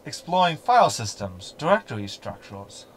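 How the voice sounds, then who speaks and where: A man's voice: medium pitch, sing-song, moderate pace, a little hiss or hum, close to an everyday voice. A man playing a snarky, meddling hologram in a sci-fi sitcom audio drama, talking in a glib, verbose, sardonic way. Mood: smug. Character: snarky, meddling male hologram ship companion.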